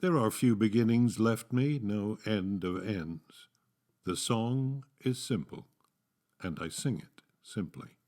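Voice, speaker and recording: A man with a low voice recites verse very slowly. His delivery is solemn and dramatic, each phrase measured out like a formal reading. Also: lively intonation, darkish tone. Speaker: actor reading poetry.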